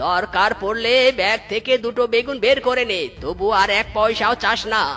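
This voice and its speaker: Man acting bright-voiced, hot-tempered miserly uncle. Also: sits high, normal speed, soft background music. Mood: irritated. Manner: exclamatory, scolding, complaining. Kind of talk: exaggerated Bengali cartoon comedy dialogue.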